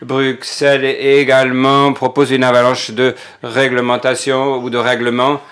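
A man giving live interpretation of a parliamentary speech. His pitch sits in the middle of the usual range. He talks in halting stretches, filling the gaps with drawn-out pauses and restarts. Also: little pitch movement, fairly bright tone. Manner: hesitant, frequent filler pauses. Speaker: simultaneous interpreter.

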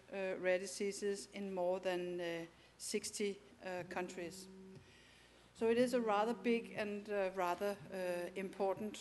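A woman's voice: mid-range voice, slight background noise. A woman with a Danish accent, a native Danish speaker, giving a conference presentation in a hesitant way, with many fillers and restarts. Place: conference hall.

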